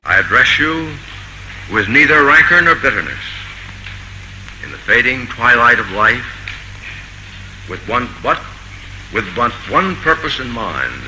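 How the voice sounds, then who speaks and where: Piercingly forceful male orator, faint noise. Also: medium pitch, big pitch swings. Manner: solemn, measured, declamatory. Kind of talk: formal oratory. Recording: faintly noisy archival speech recording.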